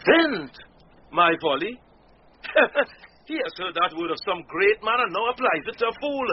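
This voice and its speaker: A man's voice: sits high, big pitch swings, a little slow. A man playing a cheeky court fool in a classical radio play, sarcastic and theatrical as he teases. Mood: mocking. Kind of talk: classical radio drama acting. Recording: muffled radio recording, faint noise.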